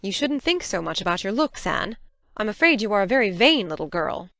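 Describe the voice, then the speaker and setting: A woman playing a stern, plain-spoken older guardian, brisk and severe, in an audiobook drama. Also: mid-range voice, big pitch swings, a bit bright, no room sound. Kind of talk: full-cast audiobook acting.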